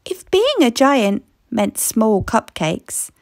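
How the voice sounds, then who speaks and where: A woman reading a children's story aloud in expressive scripted narration. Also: fairly high pitch, slow pace, no room sound, clean studio sound.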